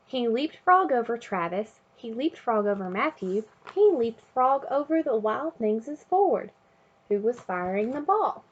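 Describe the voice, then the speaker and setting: A woman reading a children's picture book aloud in an expressive way, chanting refrains and sound words. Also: on the high side, measured pace, breathy edge, lo-fi sound. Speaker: storybook reader.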